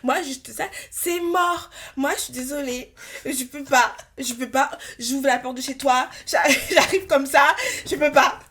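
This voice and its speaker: A woman's voice: high voice, a little slow, hardly any pauses, fairly bright tone. A woman in her thirties hosting a podcast, chatting casually in a slangy, direct and teasing way. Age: 30s. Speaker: podcast host. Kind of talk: casual chat.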